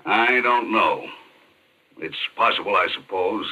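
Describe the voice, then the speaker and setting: An actor in a 1940s radio drama playing a gruff, skeptical police chief, blunt and clipped in his talk. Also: on the low side, lively intonation, measured pace, sharp tone, exaggerated voice, rough recording. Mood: doubtful. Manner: blunt, clipped, tough-talking. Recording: old radio recording.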